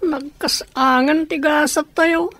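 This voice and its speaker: A woman's voice: sits high, fairly even intonation, takes it slowly, fairly bright tone, no echo. A woman playing an anxious, earnest and dutiful young sister in a radio drama, sounding troubled.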